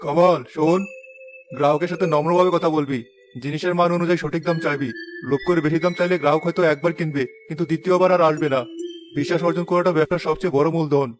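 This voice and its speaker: Man voicing a patient, principled weaver father, quick-talking. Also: a little high, fairly even intonation, faint music behind, close to an everyday voice. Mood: admonishing. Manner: admonishing, polite, measured advice-giving. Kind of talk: moral-story cartoon dialogue.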